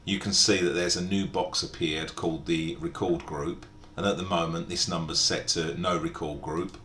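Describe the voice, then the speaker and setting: A man narrating an informal step-by-step software tutorial in a methodical, explanatory way. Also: fairly even intonation, moderate pace. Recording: echoey room, background hiss and rumble.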